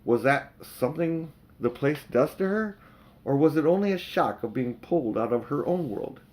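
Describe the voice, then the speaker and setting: A man's voice: fairly high pitch, animated, normal speed, a little mellow, natural voice. A man voicing a plucky schoolboy in a storybook, anxious and wondering. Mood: anxious, pondering. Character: worried, plucky schoolboy hero.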